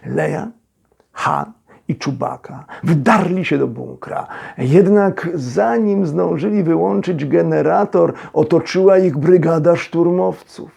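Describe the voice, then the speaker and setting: Male storyteller, slightly high pitch, mellow tone, heavy creak, dramatic pauses, expressive scripted narration. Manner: expressive, theatrical, suspenseful phrasing with dramatic pauses. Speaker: storyteller, actor reading a children's audio story.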